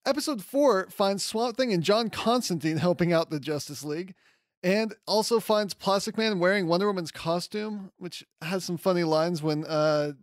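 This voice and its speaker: A man's voice: high voice, no echo, clean studio sound. A man hosting a podcast in a casual, conversational way, with enthusiasm and self-deprecating asides. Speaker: podcast host. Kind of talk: casual comics news commentary.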